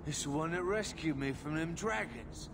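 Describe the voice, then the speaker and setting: A man's voice: fairly high pitch, moderate pace, noisy background, natural voice. A man voicing a plain-spoken, folksy farmer turned miner in a video game. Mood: hearty.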